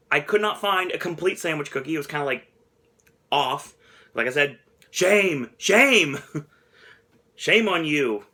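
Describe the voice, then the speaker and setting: Bright-voiced man reviewing snacks. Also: fairly high pitch. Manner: rambling, chuckles often, self-deprecating. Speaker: host. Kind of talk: casual snack review vlog.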